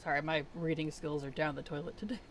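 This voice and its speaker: Live streamer, mid-pitched, strained and weak-voiced, chatty and soft-spoken, reads chat aloud. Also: brisk, slight background noise. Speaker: game streamer. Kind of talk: casual live-stream chat while playing.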